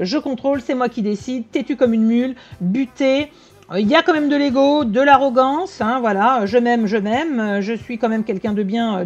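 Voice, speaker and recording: A woman's voice: fairly high pitch, a little mellow. Blunt, slangy woman medium. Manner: blunt, slangy, rapid asides to the audience. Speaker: tarot and psychic medium. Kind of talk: casual channeled reading to viewers. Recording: dull lo-fi sound with faint music.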